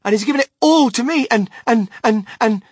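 A man voicing an earnest, excitable little boy in a storybook, with an eager, emphatic delivery. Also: mid-range voice, moderate pace, breathy edge, dry recording, clean studio sound, voice pushed up. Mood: breathless excitement.

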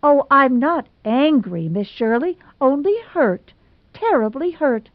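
A woman's voice: high voice, expressive, measured pace, no echo, narrow, tinny audio, pitched above the performer's own voice. Woman voicing a gushing, melodramatic lovelorn girl. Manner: gushing, theatrical, tearful. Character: melodramatic lovelorn young woman, self-pitying.

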